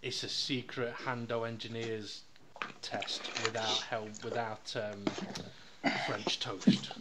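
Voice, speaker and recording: A man hosting a podcast in casual chat, relaxed and steering the show along. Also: fairly low pitch, slow pace, very noisy. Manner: relaxed, lots of fillers, runs the show. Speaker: podcast host.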